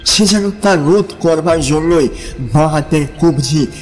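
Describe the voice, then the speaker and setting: A man narrating a dramatized audio story with measured, suspenseful phrasing. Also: on the high side, soft background music.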